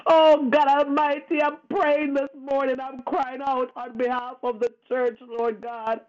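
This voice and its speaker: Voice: sits high, level tone, measured pace. A prayer leader praying fervently and off the cuff, pleading and emphatic, with repeated invocations and a tearful edge. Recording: dull lo-fi radio-like phone line.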